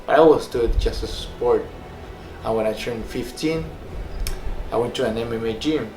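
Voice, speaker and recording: A young man from Peru tells his story in a loose, rambling way, full of fillers. His voice sits at an ordinary pitch. The room is echoey, with a faint hum underneath. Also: moderate pace. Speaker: personal trainer and former fighter. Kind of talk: casual personal story to camera.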